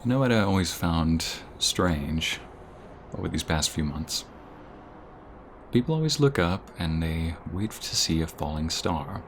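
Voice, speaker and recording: A man's voice: low-pitched, lively intonation, unhurried, a little hiss or hum. A man playing a devoted, sentimental boyfriend in a romantic ASMR roleplay, speaking intimately and earnestly. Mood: soft, sincere. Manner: intimate, earnest, often hushed and breathy.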